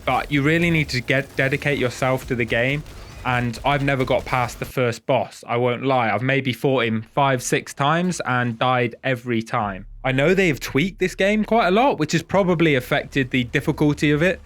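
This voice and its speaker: A man's voice: medium pitch, moderate pace, fairly bright tone, a little hiss or hum. A man with a head cold and a nasal voice, chatting casually and self-deprecatingly as host of a gaming video.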